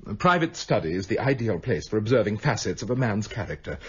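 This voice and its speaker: An actor playing a brilliant, imperious male detective in a radio drama, speaking crisp, haughty with clipped deductions. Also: fairly low pitch, sing-song, fast talker, a bit bright, dull audio, exaggerated voice. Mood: lecturing. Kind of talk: BBC radio drama acting.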